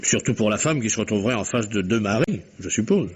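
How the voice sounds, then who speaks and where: An actor playing a genial, unruffled captain in a vintage radio mystery, nonchalant, chatty and slightly evasive. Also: male voice, on the low side, expressive, fast talker, a little hiss or hum, natural voice.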